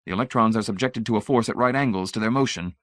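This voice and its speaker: A blunt, irritated electronics man, read by a male narrator with a lowish, dry voice. He speaks bluntly and challenges others head-on, his skepticism always close to the surface. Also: fast, fairly bright tone, no echo, natural voice. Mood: exasperated. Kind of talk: audiobook character voice.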